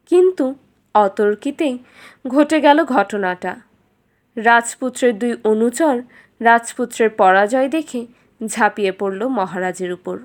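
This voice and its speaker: A woman narrating a scripted fairytale for children as a storyteller. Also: a little high.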